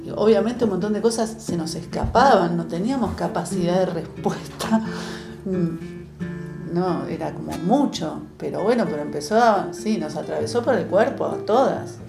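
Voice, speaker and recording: A woman with an Argentine accent reminisces casually and haltingly in an interview conversation. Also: lowish voice, creaky. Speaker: interviewee recalling activist years. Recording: roomy echo, guitar music behind. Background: guitar music.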